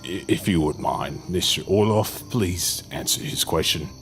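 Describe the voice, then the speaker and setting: A man's voice: sits low, measured pace, a bit bright, faint music behind. An actor playing a polite, hesitant and earnest young man in a horror audio drama. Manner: courteous, hesitant.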